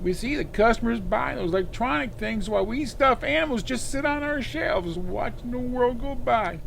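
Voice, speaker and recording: A man's voice: very high voice, expressive, moderate pace, gravelly, a bit bright, slight background noise, heavily acted. A man playing a wistful, huggable stuffed bear come to life in an audio drama, folksy and childlike. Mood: plaintive longing. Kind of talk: cartoonish audio drama acting.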